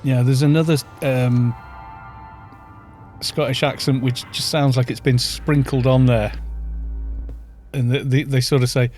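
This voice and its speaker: A North Welsh man in his fifties chats on a live stream in a mid-pitched voice, rambling from story to story and chuckling now and then. Faint music plays underneath. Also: level tone, unhurried, long pauses, a little mellow. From North Wales. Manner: chatty, rambling, chuckles often, reads chat aloud. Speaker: streamer leading a game group. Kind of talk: casual live-stream chat while gaming.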